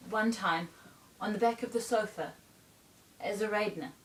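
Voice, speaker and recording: A woman performing a stage monologue as a troubled young bohemian runaway, confessing in a deliberate, haunted manner with pauses. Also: lightly acted. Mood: haunted, recounting. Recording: roomy, distant mic, faint rumble.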